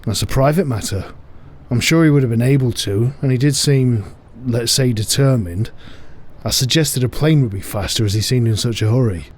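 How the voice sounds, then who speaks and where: An actor playing a weary, suspicious middle-aged harbour master in an audio drama, speaking bluntly. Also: male voice, medium pitch, normal speed, a little mellow, lightly acted. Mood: matter-of-fact.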